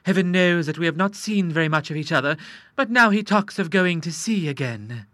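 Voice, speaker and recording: A woman's voice: on the low side, expressive, a little fast, a bit bright, no room sound, studio quality. A woman voicing a tearful elderly sailor's wife, sobbing, in a storybook reading. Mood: grieving.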